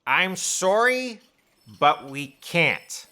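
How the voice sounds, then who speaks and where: An actress playing a nervous, rule-bound assistant in an audio drama, stammering and deferential. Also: female voice, fairly low pitch, big pitch swings, drawn-out words, pushed and bright, heavily acted.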